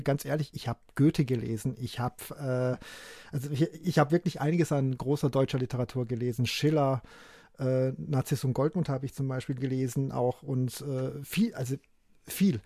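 A man's voice: mid-range voice, warm tone, no echo. An author from Germany with Turkish as his mother tongue, a man talking emphatically as a guest in a dry studio-like interview. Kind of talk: conversational interview answers.

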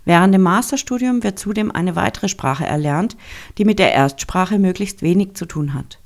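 Measured scripted radio narration. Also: mid-range voice. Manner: measured, formal read. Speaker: radio reporter.

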